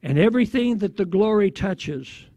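A man preaching in a declamatory way, recounting a prophetic vision in short phrases with deliberate pauses. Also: high-pitched, animated, dark tone, no room sound. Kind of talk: prophetic sermon narration.

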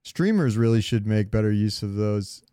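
Smooth-voiced man in casual gaming chat. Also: lowish voice, lively intonation, moderate pace, mellow. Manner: slangy, profane. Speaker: podcast co-host.

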